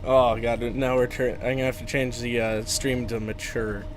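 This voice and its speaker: A man's voice: mid-range voice, flat delivery, moderate pace, noisy background. A man hosting a game stream in casual chat, teasing his friends and laughing often. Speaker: streamer host.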